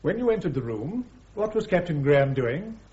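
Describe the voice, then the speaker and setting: An actor playing a courteous, brisk British army major prosecuting a case in a radio drama, polite and precise. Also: male voice, a little high, very animated, dark tone. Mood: formal, probing. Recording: dull lo-fi radio drama recording.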